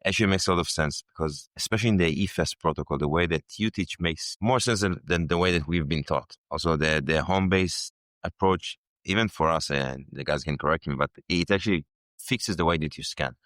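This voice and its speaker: A man's voice: low voice, brisk, a bit bright, no echo. A medical student in his twenties from Israel, answering interview questions thoughtfully with some restarts.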